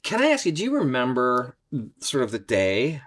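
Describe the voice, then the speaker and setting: A man's voice: mid-range voice, huge pitch swings, normal speed, a bit bright. A man hosting an interview who keeps interjecting with short questions and affirmations. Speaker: host.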